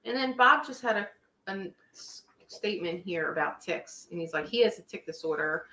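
A woman's voice: medium pitch, animated, moderate pace, pushed and bright. A woman co-hosting a home panel chat, reading out viewer questions in a chatty way. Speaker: co-host. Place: living room, indoors. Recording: echoey room.

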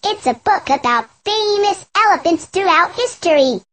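A woman voicing a modest, gentle young girl elephant in a children's learning game, speaking deliberately. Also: extremely high pitch, animated. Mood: modest, dreamy. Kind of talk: children's educational game acting.

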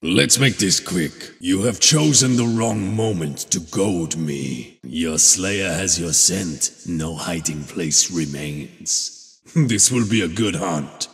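A man playing a gruff, grim warrior of a wolf legion in a video game, menacing and declamatory, with gravel in his voice. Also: deep voice, expressive, slow, big-room sound, faint music behind, full character voice.

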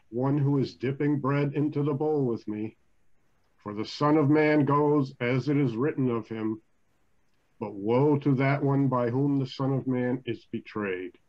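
Older man voicing solemn teacher, scripture reading, measured delivery, muffled video-call audio. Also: mid-range voice, close to an everyday voice. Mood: grave.

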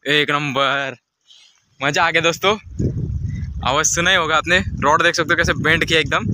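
A young man in his twenties hosting a fishing vlog outdoors on a lakeshore, filming on a handheld phone and calling out excitedly to his viewers. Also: a little high, normal speed, poor audio. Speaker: fishing vlogger host. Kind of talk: casual vlog narration to viewers. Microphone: handheld phone camera. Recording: loud outdoor wind noise.

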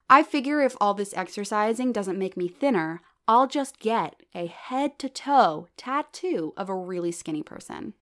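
A woman's voice: medium pitch, natural voice. A woman playing a self-deprecating stand-up comedienne in an audio drama, with a wry delivery built around punchlines. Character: self-deprecating plus-size female stand-up comedienne named Eleanor.

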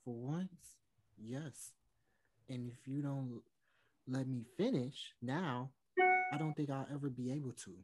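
A young man reading the part of an earnest, nervous singer in a play, speaking haltingly. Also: medium pitch, loud music behind the voice, natural voice. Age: young adult. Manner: hesitant, halting. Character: nervous, earnest male singer seeking a hard talk. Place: indoor room on video call.

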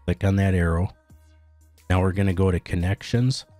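Male tutorial narrator, very low and mellow, plain instructional delivery. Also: moderate pace, no echo, soft background music. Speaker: tutorial host. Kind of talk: step-by-step software tutorial narration.

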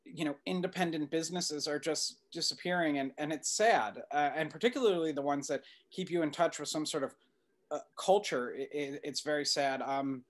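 A middle-aged man answering interview questions on a video call in a thoughtful, halting, conversational way. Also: a little high. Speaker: documentary filmmaker being interviewed. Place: indoor room on a video call. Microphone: computer mic on video call.

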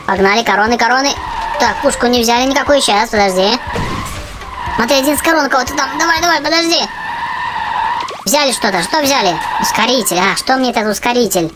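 A woman hosting a gaming channel for children, giving chatty, excitable running commentary on her play. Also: fairly high pitch, brisk, rough recording. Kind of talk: casual game commentary for children. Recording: game music behind the voice. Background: video game music.

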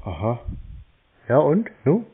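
A man's voice: medium pitch, wildly swooping pitch, slow pace, slightly rough. A man bantering casually on a phone call in a mocking, provocative way, playing clueless. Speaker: prank call recipient baiting scam callers.

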